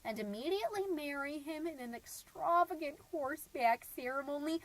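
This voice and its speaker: Woman playing a high-voiced, chatty suburban mom. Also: animated, normal speed, rough recording. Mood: chatty, gossipy. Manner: folksy, rambling, dramatic emphasis, wholesome euphemisms. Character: chatty, cheery suburban stay-at-home mom, naive, gossipy, quietly unhappy. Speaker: podcast host. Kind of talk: comedic character monologue as amateur podcast.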